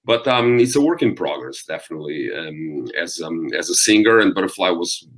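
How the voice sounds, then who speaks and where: A man from Portugal with a Portuguese accent and a deep voice, speaking English as a second language in a relaxed interview, pausing often with ums. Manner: thoughtful, frequent ums and restarts. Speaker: metal vocalist guest. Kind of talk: interview conversation.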